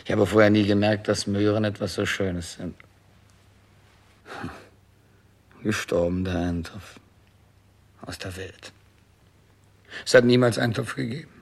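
A dying monarch in a 1960s radio drama. His low voice is gravelly and frayed. He is theatrical and self-absorbed, swinging between command and wistful murmuring. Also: fairly even intonation, moderate pace, long pauses. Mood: wistful, grieving. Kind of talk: 1960s German radio play acting.

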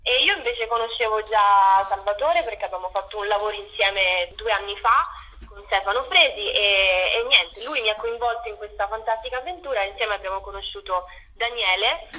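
Actress chatting on radio, bright voice, medium pitch, kinda muffled lo-fi audio. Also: female voice, fairly quick. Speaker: actress, interview guest. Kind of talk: casual chat.

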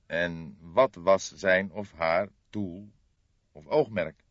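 A man reading a detective novel aloud as scripted narration, in a measured storytelling manner. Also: low voice. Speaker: audiobook narrator. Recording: dull lo-fi, boxy roomy sound.

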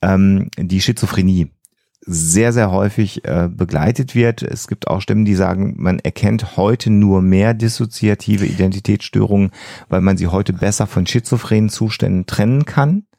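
Low, creaky-voiced man explaining carefully. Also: moderate pace, warm tone, no room sound, studio quality. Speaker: podcast host explaining psychology. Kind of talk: explanatory podcast talk.

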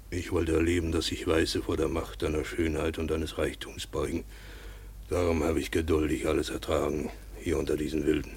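Radio drama: embittered colonial father, middle-aged, slow and halting, gravelly, full of despair. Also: low voice, heavily acted. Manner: brooding, halting, anguished. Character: embittered, despairing European trader and father in the East Indies. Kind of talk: mid-century German radio play acting.

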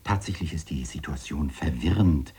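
Husky, low-voiced courteous professor. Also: male voice, warm tone, heavily acted. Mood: polite, careful. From Denmark. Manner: formal, deliberate, measured. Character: courteous, diplomatic male science professor preparing a TV debate. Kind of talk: 1970s radio drama acting.

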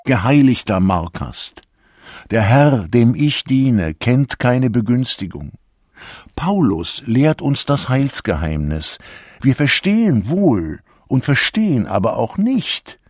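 A troubled male disciple of the early church in a radio drama. He declaims slowly in archaic language, solemn and deliberate, with bitterness and indignation toward those who have cast him out. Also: medium pitch, big pitch swings, a little mellow, no echo, studio quality. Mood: indignant. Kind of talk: literary radio drama monologue.

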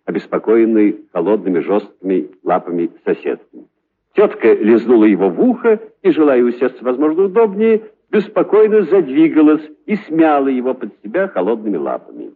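A man narrating a children's story in an expressive, scripted storytelling style. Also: on the low side, husky, phone-quality sound.

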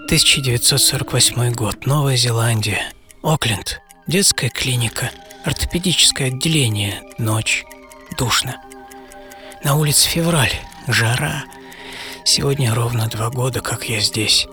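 A man's voice: mid-range voice, huge pitch swings, measured pace, sharp tone, faint music behind, full character voice. An actor playing a bedridden eleven-year-old boy who dreams of racing, in a theatrical radio monologue told in short clipped phrases. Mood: weary, wry. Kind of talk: dramatic first-person monologue in radio play.

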